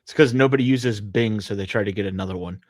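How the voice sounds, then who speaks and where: A man's voice: fairly low pitch, fast, no echo, clean studio sound. A man co-hosting a podcast, talking in a casual, conversational way. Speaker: podcast co-host. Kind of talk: casual chat.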